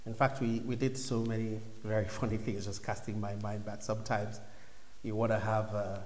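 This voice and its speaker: A man's voice: fairly low pitch, level tone, normal speed, a little hiss or hum. A man from Nigeria in his thirties giving a personal faith testimony to a congregation, in a reflective, conversational way. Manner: reflective, conversational, drops to near whispers. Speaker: church speaker giving a testimony. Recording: echoey hall with long reverb.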